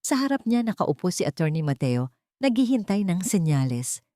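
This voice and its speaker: Lowish-voiced woman narrating drama. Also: very animated, moderate pace, mellow, no room sound, clean studio sound. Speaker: story narrator. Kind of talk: dramatic scripted romance narration.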